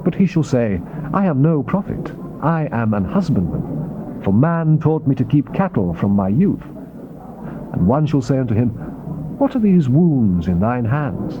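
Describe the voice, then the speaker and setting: A man's voice: mid-range voice, very animated, measured pace, over music. Solemn man, dramatic swooping scripture reading. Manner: solemn, declamatory, theatrical. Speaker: scripture narrator. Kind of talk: dramatized King James Bible reading. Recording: noisy, dull old cassette tape.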